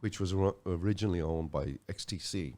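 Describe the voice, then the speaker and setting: A man's voice: low-pitched, brisk, darkish tone. A middle-aged man from Ireland with a Dublin accent, chatting as a guest musician, rambling and restarting his phrases. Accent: Dublin Irish. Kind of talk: casual interview chat.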